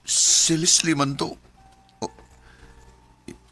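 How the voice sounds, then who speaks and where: A man's voice: on the high side, flat delivery, takes it slowly, over loud music. A man with a Cebuano accent acting out his own story in a radio drama, speaking hesitantly and apologetically.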